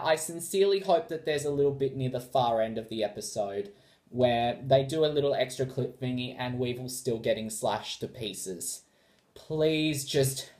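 A man's voice: medium pitch, a little mellow. A man in his twenties with an Australian accent, talking casually and theatrically in a reaction vlog, recorded indoors on a device mic. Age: 20s. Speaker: YouTube reactor. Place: small bright room indoors.

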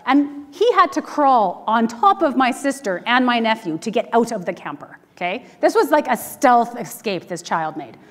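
A middle-aged Canadian woman pastor giving a conversational sermon with personal stories, informal, candid and animated. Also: a little high. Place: church sanctuary, speaking to a congregation. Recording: reverberant church hall.

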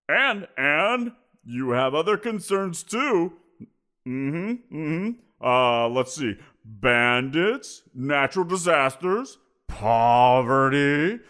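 A man performs a greedy giant creature who toys with his captive, his voice climbing and swooping with mocking amusement. He lingers over his words, slow and deliberate, smug in his power. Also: on the high side, a bit bright, heavily acted. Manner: playful, teasing, drawn-out. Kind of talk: audio roleplay.

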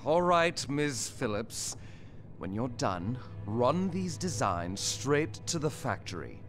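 An actor playing a curt, dismissive, exacting company boss in a video game, with an imperious manner. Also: male voice, mid-range voice, big pitch swings, takes it slowly, soft background music. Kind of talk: video game cutscene acting.

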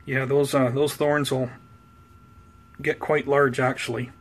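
A man giving an unhurried, conversational show-and-tell as a hobby vlogger, talking casually indoors. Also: medium pitch, flat delivery. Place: indoors at home by plant shelves. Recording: clear background noise, room ambience.

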